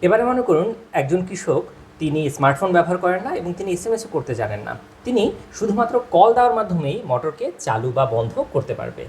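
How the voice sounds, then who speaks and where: A man's voice: high-pitched, big pitch swings, fast, darkish tone. A man narrating a product demonstration, explaining step by step and addressing viewers directly. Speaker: product presenter. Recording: clear background noise, ambient sound.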